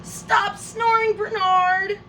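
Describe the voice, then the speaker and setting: A woman voicing cross storybook zoo animals, calling out a loud, drawn-out scolding. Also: extremely high pitch, little pitch movement, noisy background, voice pushed up. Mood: scolding, exasperated. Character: zoo animals yelling crossly at a noisy sleeper.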